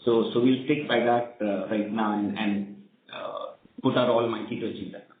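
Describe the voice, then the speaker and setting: A man with an Indian accent speaking as a company executive, answering questions on an earnings call in a measured way, repeating words as he goes. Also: lowish voice, fairly even intonation. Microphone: conference call line. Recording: tinny roomy phone line, some noise.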